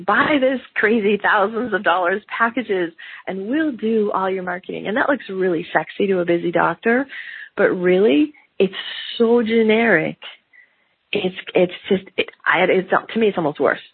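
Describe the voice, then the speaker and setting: Middle-aged woman w a cold, super raspy gravelly voice, animated podcast host, tinny phone-like sound. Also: lowish voice, no room sound. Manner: animated, acts out quotes in lively voices. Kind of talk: solo business podcast talk. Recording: phone-like narrow band, dry.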